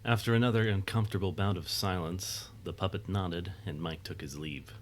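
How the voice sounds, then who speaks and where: A man's voice: low-pitched, steady tone, moderate pace. A man reading scripted narration for an audio drama in a storytelling style.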